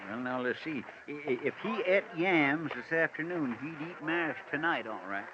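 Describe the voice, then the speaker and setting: Casting: male actor for self-important, dim country sheriff, rural American accent, highish voice, muffled vintage radio sound. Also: animated, normal speed, close to an everyday voice. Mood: folksy, pleased. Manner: folksy, blustering, hesitant. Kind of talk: 1940s radio acting. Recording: noisy muffled old radio recording.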